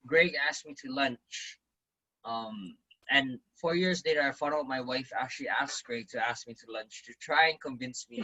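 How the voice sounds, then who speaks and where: A man in his forties tells his story in a relaxed interview with a bright, husky voice. He talks like the event emcee he is and throws himself into quoting others. His voice jumps up loud whenever he quotes someone. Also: mid-range voice, low-quality recording. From Hawaii. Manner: animated, acts out quoted lines in a raised voice. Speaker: business owner, event emcee.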